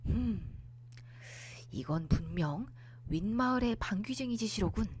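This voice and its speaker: A woman's voice: mid-range voice, lively intonation, unhurried, a little mellow, slightly rough. A woman voicing an indignant village man in a children's folktale, blustery and huffing, with old-fashioned exclamations. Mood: grumbling.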